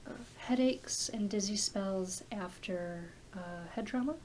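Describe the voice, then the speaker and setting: A woman playing a kind, reassuring doctor in a soft-spoken ASMR roleplay, talking in a gentle, hesitant, conversational way. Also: mid-range voice, slow, a little hiss or hum, lightly acted, poor audio. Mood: kind, welcoming. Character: kind, reassuring doctor who treats werewolves.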